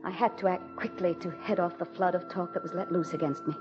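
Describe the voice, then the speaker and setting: An actress playing a proud, embattled president's wife in a formal, theatrical 1940s radio drama style. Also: female voice, on the low side, fairly even intonation, fast talker, music in the background, close to an everyday voice. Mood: brooding narration. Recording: muffled vintage 1940s radio recording.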